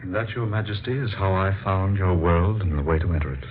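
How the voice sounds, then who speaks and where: A man acting out an earnest scientist visiting a hidden world, in radio drama style. He is deep-voiced, polite and fervently dramatic. The sound is thin and phone-like, with clear noise. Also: fairly quick, close to an everyday voice. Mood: respectful, pleading. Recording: tinny, noisy old-time radio recording.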